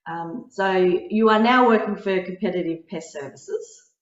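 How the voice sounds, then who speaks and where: Woman, podcast host, chatty and warm-natured, lo-fi roomy audio. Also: medium pitch, a little slow. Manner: chatty, laughs often, restarts sentences. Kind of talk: casual video interview. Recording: dull lo-fi sound in a roomy space.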